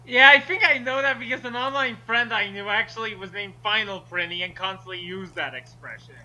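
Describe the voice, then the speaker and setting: A man's voice: sits high, brisk, bright, forceful tone, lo-fi sound. A man bantering casually as a commenter during a group reading. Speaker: co-host commenting on fan fiction.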